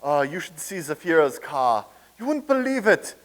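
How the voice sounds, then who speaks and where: A man acting in a staged comedy reading as a boastful, thin-skinned, excitable wartime German officer. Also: fairly high pitch, moderate pace. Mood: chatty, delighted.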